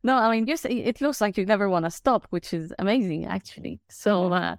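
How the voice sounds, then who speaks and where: Young woman hosting a podcast, low soft voice, laughs a lot, lots of likes and ums, dry sound. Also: brisk, no room sound. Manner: laughs often, hesitant with fillers. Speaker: podcast host. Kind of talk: casual conversational chat.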